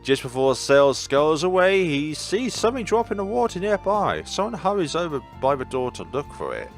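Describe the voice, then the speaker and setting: A man's voice: a little high, big pitch swings, normal speed, faint music behind. A man doing let's-play narration of a visual novel, reading aloud with chatty asides and the odd stumble.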